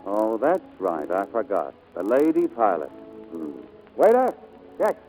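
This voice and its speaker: A man's voice: lowish voice, wildly swooping pitch, slow, a little mellow, full character voice, low-quality recording. An actor playing a breezy, flirtatious, self-assured male pilot in 1940s radio drama style. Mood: miffed. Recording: old 1940s radio broadcast, music behind.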